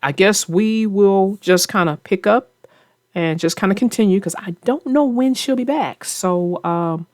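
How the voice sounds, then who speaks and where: A woman hosting a children's read-aloud show, chatting about a book with young readers in deliberate, emphatic phrases. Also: medium pitch, a little mellow, no echo, studio quality.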